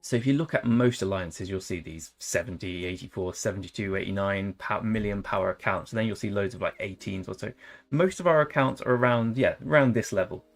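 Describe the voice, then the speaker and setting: A man's voice: lowish voice, fairly quick, faint music behind. A young man chatting casually while live-streaming a strategy game, rambling and joking. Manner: jokey, rambling, tries silly accents. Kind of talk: casual live-stream chat over gameplay.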